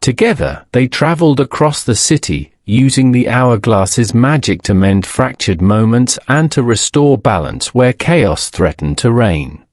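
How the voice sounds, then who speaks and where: A man reading a scripted bedtime story as a narrator, in a measured, solemn storybook manner. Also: medium pitch, sing-song, a little mellow, dry recording, clean studio sound.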